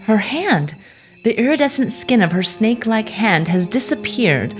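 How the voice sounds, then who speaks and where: A woman's voice: on the high side, very animated, normal speed. A woman narrator telling a scripted story theatrically for an audio drama. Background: music.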